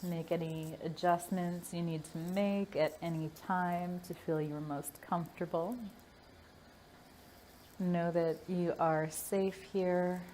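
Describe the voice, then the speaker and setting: Slow, soothing woman guiding meditation. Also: on the low side, fairly even intonation, a little hiss or hum. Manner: soothing, gentle, unhurried with long pauses. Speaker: hypnotherapist leading a guided meditation. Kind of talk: guided meditation.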